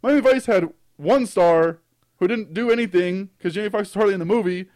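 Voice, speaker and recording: A man with a high voice, talking in a casual chat. He is blunt and scornful, and he hammers his points home with emphasis. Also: a little fast, darkish tone.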